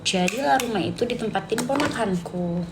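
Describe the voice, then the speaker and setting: A woman's voice: a little high, a little fast, very noisy. A woman chats casually on a late-night livestream, rambling in slang and answering comments as she goes. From Sidoarjo, East Java, Indonesia. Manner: chatty, slangy, rambling, reading and answering viewer comments aloud. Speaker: live streamer. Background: music.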